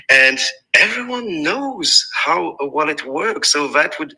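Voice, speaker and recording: Halting French-accented man, bright tone. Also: mid-range voice, poor audio. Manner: halting, many restarts and fillers. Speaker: guest speaker. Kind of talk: casual group audio chat. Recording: online audio space.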